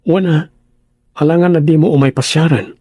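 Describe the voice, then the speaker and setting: A man's voice: a little high, normal speed, mellow, husky, dry recording, clean studio sound. A man playing a mild, affectionate young office worker in an audio drama, in a conversational tone. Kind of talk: Ilocano radio-style drama dialogue.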